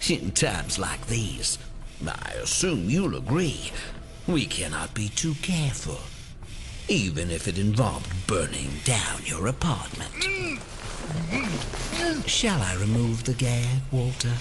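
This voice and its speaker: A man playing a solemn, menacing older conspiracy leader in an audio drama, speaking in a formal, deliberate and ominous way. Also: lowish voice, sing-song, heavy background noise. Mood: coldly polite.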